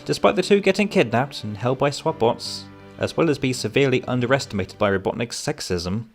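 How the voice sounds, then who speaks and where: A man narrating a scripted comic book review in a wry, informal way. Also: fairly high pitch.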